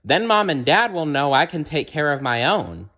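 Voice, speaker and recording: A man's voice: a little high, big pitch swings, normal speed, sharp tone, lo-fi sound, pitched above the performer's own voice, exaggerated voice. A man voicing an eager, earnest young boy in a children's storybook. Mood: eager, excited.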